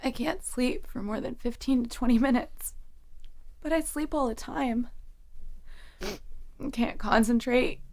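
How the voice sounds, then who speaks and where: A woman's voice: a little high, measured pace, pauses often, darkish tone. An actress playing a troubled young woman student, fearful and fragile, in an audio drama. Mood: weary, despairing.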